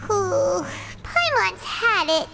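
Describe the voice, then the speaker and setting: Childlike fairy sidekick, very high squeaky voice, animated, easily spooked, video game, prominent music behind. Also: female voice, extremely slow, vocal fry, heavily acted. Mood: tired. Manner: refers to self in third person.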